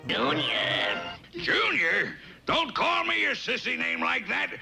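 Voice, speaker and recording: A man voicing a blustering, indignant cartoon boy in an old animated short. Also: very high-pitched, slow, gravelly, soft background music, heavily acted. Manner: indignant, exclamatory.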